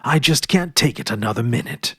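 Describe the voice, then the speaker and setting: A boisterous young boy blurts his complaints and cheers loudly and impatiently in a storybook reading, as a man puts him on. The voice is husky, dry and close. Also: mid-range voice, lively intonation, fairly quick, dry recording.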